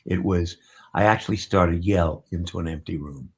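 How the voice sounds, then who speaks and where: Deep, husky, gravelly man reminiscing. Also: darkish tone. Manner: halting, reflective. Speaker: interviewee, theatre writer. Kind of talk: reminiscing in an interview.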